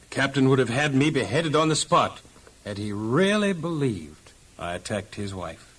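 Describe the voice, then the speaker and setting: An actor playing a patient, devout male prisoner, speaking gently and earnestly in a children's audio drama. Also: medium pitch, big pitch swings, normal speed. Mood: calm conviction. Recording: music underneath.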